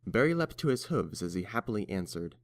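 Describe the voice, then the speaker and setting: A man's voice: on the low side, very animated, fairly quick. A man reading scripted story narration as the narrator.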